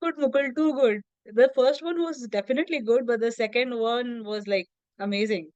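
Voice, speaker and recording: A woman hosting a video call, warmly praising answers and moving the session along. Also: a little high, normal speed, dry recording, highs cut off. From India. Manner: encouraging, gives praise, loose fillers. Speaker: session host. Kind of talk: casual moderating on a video call.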